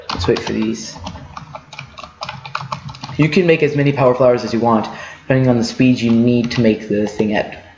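Guy doing a game walkthrough, kinda slow n rambling, dull lo-fi mic with room echo. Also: medium pitch, a little mellow, a little hiss or hum. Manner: halting, rambling, thinks aloud while working. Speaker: gaming tutorial host. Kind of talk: casual unscripted game walkthrough commentary. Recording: muffled lo-fi mic in echoey room.

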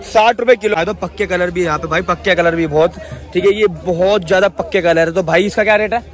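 A man's voice: high voice, fairly quick. A young man filming a casual vlog on his phone as he walks a busy outdoor street market, chatting with sellers and repeating each price to the camera. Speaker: vlogger. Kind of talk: handheld market walkthrough vlog. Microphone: phone held at arm's length.

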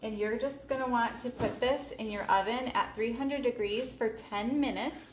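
A woman's voice: fairly high pitch, normal speed, a little hiss or hum. Chatty woman cooking in an echoey kitchen. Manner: chatty, relaxed, many ums and justs. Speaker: meal prep vlogger. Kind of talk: casual cooking vlog narration. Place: home kitchen. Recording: echoey kitchen with clattering dishes. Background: clinking cutlery, dishes and pans.

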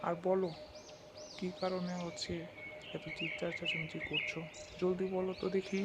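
A man's voice: high-pitched, flat delivery, normal speed, heavy background noise, lightly acted. A man playing a callous, stingy village landlord in a cartoon, scolding and dismissive. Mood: irritated. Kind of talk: Bengali cartoon character voice acting.

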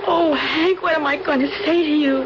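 High-voiced, anguished young woman, played by an actress. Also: fairly even intonation, natural voice. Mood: guilty, anguished. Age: young adult. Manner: halting, apologetic, emotional. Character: anguished, guilty young woman, a professor's daughter. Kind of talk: 1970s radio drama acting. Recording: dull, noisy 1970s radio recording.

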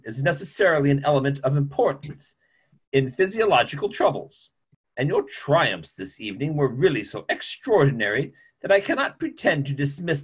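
A middle-aged man playing a careworn, formal family doctor in an online play reading, with measured, hesitant phrasing. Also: medium pitch, slightly rough. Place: small room at home, video call.

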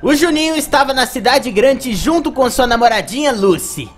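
A woman's voice: high voice, animated, moderate pace. A woman with a Brazilian accent narrating video game play as a reviewer, with music behind. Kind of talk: gameplay commentary narration.

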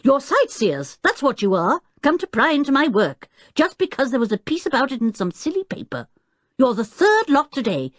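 A woman as a middle-aged male professor, gruff, irascible, blustering, emphatic, wide-swinging pitch, storybook character, dry recording. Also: very animated, moderate pace, no room sound. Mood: furious roaring.

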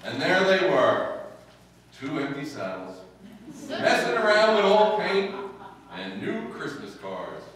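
A wry husband in an old-time radio comedy, played by a man, dry and teasing. The voice is bright and animated, picked up from far back in a very reverberant hall. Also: on the high side, takes it slowly, pauses often, exaggerated voice. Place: stage in a hall before a seated audience. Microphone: far camera. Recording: very echoey room, distant camera microphone.

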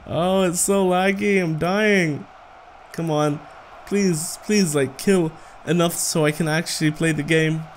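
Playful higher-pitched man doing game commentary. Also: steady tone, measured pace, a little hiss or hum. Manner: playful, chuckles often, talks to the game. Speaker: gaming video host. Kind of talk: casual gameplay commentary.

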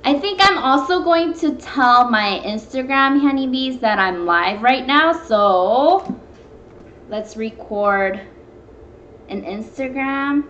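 A woman's voice: high voice, animated, slow pace, fairly bright tone, a little hiss or hum. A young woman chatting casually and informally as the host of a live home cooking stream, meandering as she talks. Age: young adult. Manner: informal, meandering, greeting viewers. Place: small home room, indoors at a table. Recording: echoey, dull webcam stream, background music.

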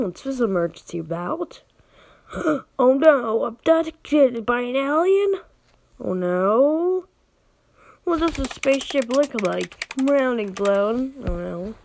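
A woman's voice: on the high side, lively intonation, slow pace, creaky, darkish tone, a little hiss or hum. A woman giving casual gameplay commentary, thinking aloud with exclamations and reading game text out. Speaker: gaming video host.

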